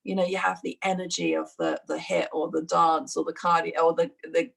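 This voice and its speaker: A woman's voice: deep voice, little pitch movement, a little fast, vocal fry, a bit bright, breathy edge. A woman, a fitness instructor, chatting reflectively in an interview with many fillers and restarts. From United Kingdom. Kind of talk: casual interview chat.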